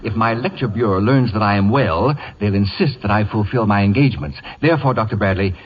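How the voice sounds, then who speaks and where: An actor playing a pompous, sharp-tongued, scheming celebrity in a radio comedy, with an imperious, theatrical and biting delivery. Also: male voice, on the low side, a little fast, a little hiss or hum, natural voice. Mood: oily, wheedling. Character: pompous, acid-tongued male celebrity wit, domineering and scheming. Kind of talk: vintage radio comedy acting. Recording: dull vintage radio broadcast.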